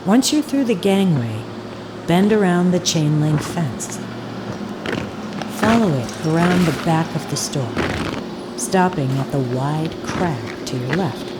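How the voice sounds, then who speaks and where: A woman playing an eerie audio tour guide in an audio drama, giving deliberate instructions with hypnotic repetition. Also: deep voice, lively intonation, heavy background noise. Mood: calm, commanding. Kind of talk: scripted audio drama narration. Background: ambient field recording and foley sounds.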